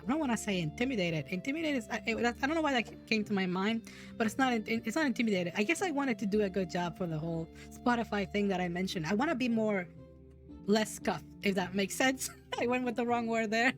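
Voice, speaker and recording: A woman's voice: medium pitch, expressive, fast, soft background music. Giggly, rambling woman host. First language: Spanish. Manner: giggly, rambling with many restarts. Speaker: podcast host. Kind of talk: casual streamed chat.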